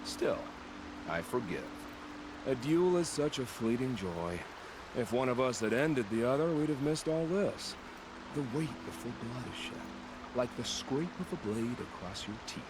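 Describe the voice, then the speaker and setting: An actor playing a serene, bloodthirsty killer in a video game, with poetic, savoring phrasing. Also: male voice, medium pitch, animated, a little slow, noisy background, close to an everyday voice. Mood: wistful, savoring. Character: serene bloodthirsty male killer who relishes combat. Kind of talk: video game drama acting. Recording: clear outdoor game ambience behind voice.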